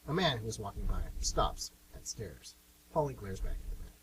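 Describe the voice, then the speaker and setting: A man's voice: fairly low pitch, lively intonation, moderate pace, poor audio. A man hosting and narrating a scripted amateur radio play. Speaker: host and narrator. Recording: amateur radio with clear hum noise.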